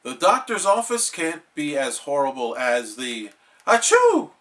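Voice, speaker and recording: A man voicing an excitable, sickly cartoon sea sponge in a dramatic, whiny, pleading manner. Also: a little high, measured pace. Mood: anxious pleading.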